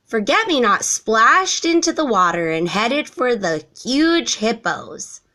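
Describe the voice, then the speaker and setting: A woman reading a children's storybook aloud in an expressive narrating style. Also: fairly high pitch, takes it slowly. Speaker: storybook reader.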